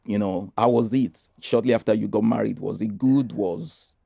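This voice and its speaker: Low gravelly male interviewer. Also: moderate pace, dark tone, dry recording, studio quality. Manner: probing, short reactions. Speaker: interviewer, host. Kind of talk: interview questions.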